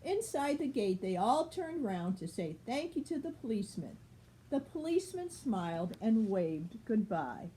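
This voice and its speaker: Older female storyteller, grandmotherly, highly animated, higher-pitched voice, picture-book reading over faint noise. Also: measured pace, rough recording.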